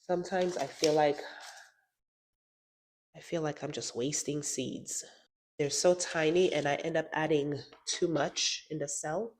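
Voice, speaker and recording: A woman's voice: sits low, steady tone, measured pace, darkish tone. A woman with a stuffy nose from a cold, chatting casually through a gardening how-to vlog and saying "y'all" often. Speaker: gardening vlogger.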